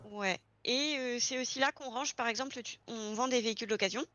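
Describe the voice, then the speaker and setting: A woman's voice: on the high side, brisk, bright, forceful tone, dry recording, lo-fi sound. A woman explaining things step by step in an informal, casual roleplay chat. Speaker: experienced garage employee training a new recruit in online roleplay.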